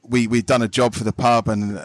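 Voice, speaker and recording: A man's voice: medium pitch, level tone, brisk, dry recording. An English man, a podcast guest, chatting casually and telling stories. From England. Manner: chatty storyteller, says "do you know what I mean" often.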